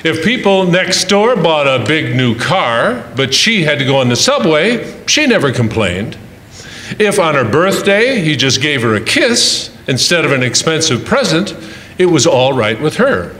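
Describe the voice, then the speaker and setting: In a roomy, echoing hall, a long-suffering husband , played by a man tells of married life. His voice is fairly high with wide swings, wry and sardonic in the manner of old radio comedy. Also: big pitch swings, measured pace, pushed and bright, exaggerated voice. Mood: wry storytelling. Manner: dry, sardonic asides.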